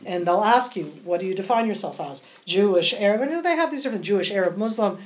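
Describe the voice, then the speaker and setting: A woman's voice: mid-range voice, expressive, fast talker. An American woman in her fifties giving a public lecture to a group, explaining at length with many asides. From United States, California. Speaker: guest lecturer.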